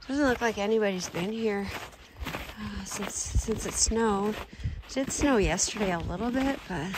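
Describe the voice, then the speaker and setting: A woman in her forties on a snowy forest trail outdoors, filming a casual walking vlog on a phone at arm's length and talking in a soft, awed voice that often drops to a whisper. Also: medium pitch, noisy background. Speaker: solo winter camper and vlogger.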